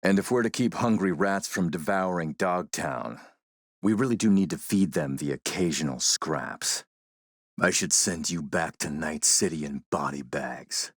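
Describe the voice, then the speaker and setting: A man playing a suave video game warlord, coolly polite and mocking, with a measured, sardonic menace. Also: low-pitched, breathy edge, no room sound, clean studio sound, exaggerated voice. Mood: quiet threat. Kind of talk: video game villain acting.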